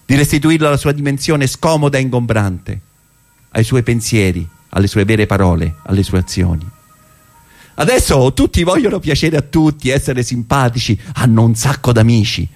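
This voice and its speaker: A man performing a theatrical narrative monologue in a conversational, ironic manner with rhetorical pauses. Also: medium pitch, expressive, a little mellow. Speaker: stage actor and monologist.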